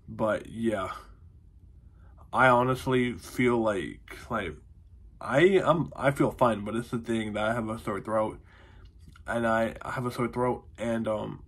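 A man's voice: lowish voice, slow, breathy edge. Twenties guy with a cold, stuffy-nosed and chatty, recorded on a close phone mic at home. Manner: rambling, heavy on fillers and "honestly". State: congested, nasal from a cold. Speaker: vlogger. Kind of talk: casual vlog update. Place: small room at home, indoors. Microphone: phone or webcam close to the face.

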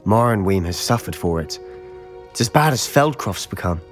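A man's voice: lowish voice, expressive, moderate pace, natural voice. A young man acting as a determined, hot-headed teenage schoolboy wizard in a video game, intense and articulate. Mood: earnest, brooding. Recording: music behind the voice.

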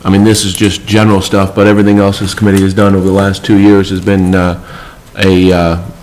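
A man with a lowish voice runs a school board meeting in short, courteous remarks. Behind him there is a faint hiss. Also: completely flat delivery, fairly quick, darkish tone. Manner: brief, courteous and procedural. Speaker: board chair running the meeting. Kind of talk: procedural meeting chairing.

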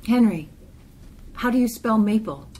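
Slow-paced woman reading poetry. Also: a little high, expressive, dark tone, slight background noise, poor audio. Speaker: poetry reader. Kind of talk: literary poem reading.

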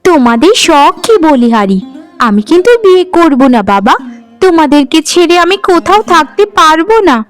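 Chirpy cheeky cartoon village girl, a woman voicing her, high sing-song voice, faint music under. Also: animated, moderate pace. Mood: affectionate. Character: cheeky young village girl, lively and affectionate. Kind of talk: cartoon voice acting.

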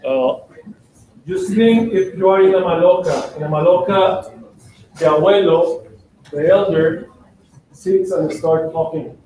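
Calm male moderator in a roomy hall. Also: sits high, slow pace, pauses often, a little hiss or hum. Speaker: event moderator. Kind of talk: introducing a speaker. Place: lecture hall with audience. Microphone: far camera in audience. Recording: echoey room from far off.

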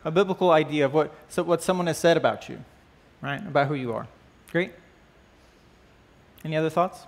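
A man in his thirties teaches a church class from a stage. His talk is loose and friendly, full of small fillers and questions to the group. His voice sits somewhat high for a man. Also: a little fast. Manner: conversational, asks the class questions, frequent "right?" and "uh". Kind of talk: church class lesson with opening prayer. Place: church stage with band gear, indoors.

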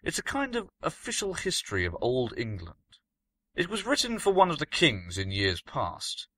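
Man voicing a portentous, animated learned countryman. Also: mid-range voice, normal speed, no room sound, voice pushed up, heavily acted. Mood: knowing. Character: learned, mysterious countryman, grave and knowing.

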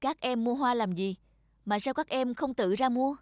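A gentle, polite young man in animated story dialogue, voiced by a woman. The voice sits fairly high and loud music plays over it. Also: normal speed, close to an everyday voice. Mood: puzzled.

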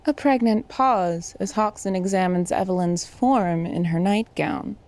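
Female narrator, medium pitch, scripted scene directions, audio drama. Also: measured pace, a little mellow.

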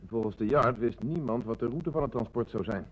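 A man's voice: medium pitch, fairly quick, close to an everyday voice, low-quality recording. A man acting a level-headed, respectful police sergeant who doubts things, in a Dutch radio play. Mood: sober, doubting.

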